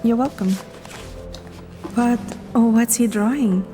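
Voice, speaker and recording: A woman voicing a curious, polite, slightly flustered young woman in a romance story, expressive and a little hesitant. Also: on the high side, takes it slowly. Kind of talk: scripted romance story dialogue. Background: clear background music.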